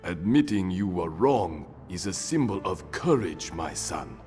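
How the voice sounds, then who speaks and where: An elderly rat sensei with a very deep, unhurried delivery. He speaks gently and with measured calm, and soft music plays underneath. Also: long reverb tail. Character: wise old male rat sensei, calm. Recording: game cutscene over music.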